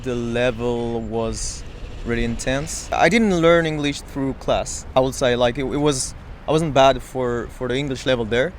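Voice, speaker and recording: A man's voice: medium pitch, moderate pace, a little hiss or hum. A young man from France with a French accent, answering a street interview outdoors, thoughtful and restarting often. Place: sunny beach promenade outdoors. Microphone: handheld mic held by interviewer.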